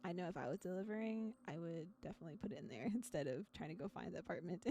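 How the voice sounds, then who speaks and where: A young adult woman streaming in casual chat, with a quiet but clear voice, laughing often between her sentences. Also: lowish voice, expressive, fast talker. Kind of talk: casual chat and reading a visual novel aloud.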